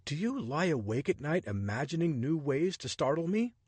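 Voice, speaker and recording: A man's voice: fairly high pitch, sing-song, normal speed, darkish tone, husky, no room sound, voice pushed up. A man reading a story voices a flustered, sarcastic American adventurer who is defensive and exasperated.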